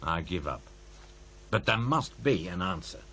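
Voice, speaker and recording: A man's voice: sits low, big pitch swings, unhurried, sharp tone, slight background noise, full character voice. An actor playing a stubborn, sceptical male freelance programme maker in a radio play, dry and insistent. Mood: defensive. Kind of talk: naturalistic radio play dialogue.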